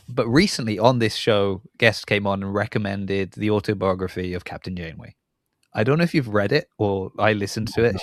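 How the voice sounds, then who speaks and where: Low-voiced man hosting, dry close sound. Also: dry recording. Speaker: podcast host. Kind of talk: casual interview chat.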